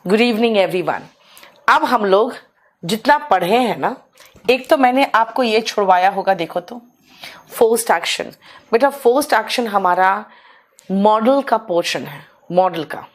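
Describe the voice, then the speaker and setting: Hoarse woman teacher, live online class, motherly, keeps clearing her throat. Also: mid-range voice, lively intonation, measured pace. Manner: motherly, calls students "beta", coaxing them to hurry. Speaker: English teacher.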